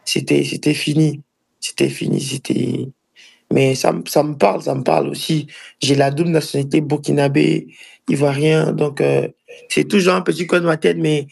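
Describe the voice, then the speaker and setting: A young man from Ivory Coast with an Ivorian accent gives casual interview answers as a footballer. His voice sits fairly high and is heavy with fry. He talks haltingly, circling back and repeating phrases to press his point. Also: darkish tone. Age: early 20s. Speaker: professional footballer being interviewed.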